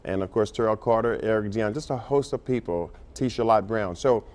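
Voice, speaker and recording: A man's voice: lowish voice, a little fast, darkish tone. An American man from Detroit, a playwright and director, telling his story earnestly in a conversational TV interview, feeling his way into sentences. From Detroit, United States.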